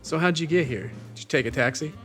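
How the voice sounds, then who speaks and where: A man's voice: on the high side, fast talker, a bit bright, soft background music, close to an everyday voice. Brief: man as a questioning partygoer. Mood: curious. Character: curious male partygoer.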